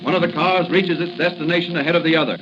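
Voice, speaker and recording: Highish male 1940s radio narrator over music. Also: fast. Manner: theatrical, suspenseful. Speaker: announcer and narrator. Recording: muffled 1940 radio broadcast. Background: dramatic music.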